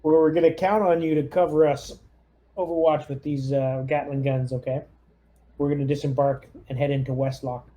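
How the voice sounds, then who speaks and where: Mellow, higher-voiced man playing a tabletop game. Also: little pitch movement, normal speed, rough recording. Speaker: player in a tabletop roleplaying game. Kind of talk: casual in-character game chat.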